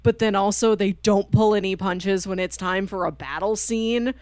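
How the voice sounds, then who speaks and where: A woman speaks as a podcast co-host in relaxed conversation. Her pitch sits in the middle range for a woman, and the sound is dry, with almost no room around it. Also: normal speed, clean tone, no room sound. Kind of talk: casual book discussion chat.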